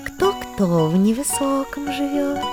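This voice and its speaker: A woman's voice: sits high, animated, measured pace, over loud music. A woman playing a sweet, coaxing female fox in a children's audio fairy tale.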